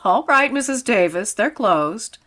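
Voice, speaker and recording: A woman's voice: a little high, lively intonation, a little slow, fairly bright tone. A woman playing a wry, sardonic schoolteacher in a 1940s-style radio comedy, with dry, pedantic wisecracks. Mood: dry, puzzled.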